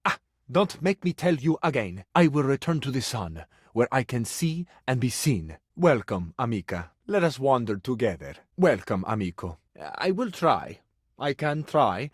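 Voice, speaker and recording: A man's voice: mid-range voice, big pitch swings, a little slow, slightly rough, no room sound, clean studio sound. A man playing a romantic, grandiose Italian lover in a video game, speaking with an Italian accent in a flowery, amorous, theatrical manner. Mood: wounded, proud. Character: romantic, grandiose Italian household object come to life, suave male lover.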